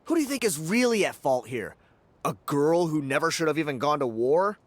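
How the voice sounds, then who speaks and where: A man's voice: a little high, very animated, a little fast. A man voicing a mocking, rabble-rousing agitator in a video game. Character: sneering male crowd agitator. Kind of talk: game voice acting.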